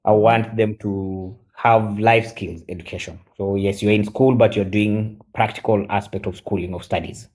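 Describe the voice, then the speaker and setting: A man in his forties, chatting on a live stream as a guest, halting with many fillers and switching into Swahili. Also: on the low side, fairly even intonation, darkish tone. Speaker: guest mentor. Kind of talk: casual live-stream chat.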